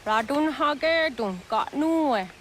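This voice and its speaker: A woman playing a grave village elder woman in a video game, speaking in a measured, deliberate way. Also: high voice, animated, fairly bright tone, slight background noise, heavily acted. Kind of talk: scripted video game cutscene acting. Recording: faint outdoor nature ambience.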